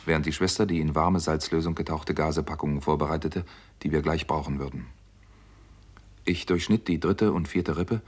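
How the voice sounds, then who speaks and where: A driven, earnest doctor in a 1960s radio thriller. His voice is very low and husky, and he tells his story with grave seriousness. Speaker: actor narrating and playing a doctor. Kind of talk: 1960s German radio crime drama acting.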